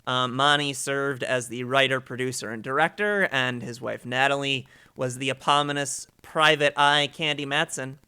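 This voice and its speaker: A man's voice: medium pitch, steady tone, moderate pace, hardly any pauses, bright, forceful tone, clean tone. A man hosting a livestream with informal, enthusiastic chat and introductions. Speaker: host of a listening livestream.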